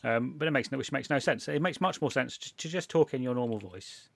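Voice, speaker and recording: A man from England hosts a relaxed chat, wandering through his points with restarts and chuckles. His voice carries a gravelly edge, recorded dry and close. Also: medium pitch, brisk, no echo. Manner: rambling, self-interrupting, laughs often. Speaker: podcast host. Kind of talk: casual chat.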